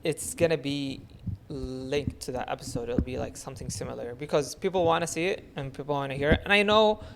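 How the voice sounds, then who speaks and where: A man's voice: mid-range voice, a little fast, creaky, background noise. A man from Saudi Arabia hosting a podcast in casual conversation. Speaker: podcast host.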